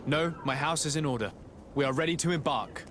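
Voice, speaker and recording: A man's voice: a little high, background noise, close to an everyday voice. An actor playing a composed young nobleman envoy in a video game, speaking formally and modestly. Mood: brisk, polite. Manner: formal, measured, polite. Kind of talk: scripted video game cutscene acting.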